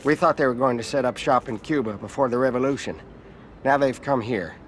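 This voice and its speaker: An actor playing a shaken, corrupt middle-aged senator who speaks in a hesitant, halting way in a game cutscene. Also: male voice, mid-range voice, a little hiss or hum, close to an everyday voice. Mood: rattled.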